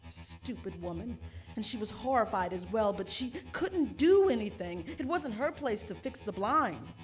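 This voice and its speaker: An actress playing an agitated, imperious and fastidious mother in a futuristic radio drama, with a haughty, theatrical delivery. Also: on the high side, expressive, faint music behind, close to an everyday voice. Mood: agitated, indignant. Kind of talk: theatrical radio drama acting before a live audience.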